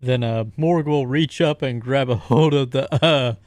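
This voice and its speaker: A man's voice: mid-range voice, measured pace, darkish tone, no echo, clean studio sound. A man casually describing his character's actions as a player in a tabletop roleplaying game.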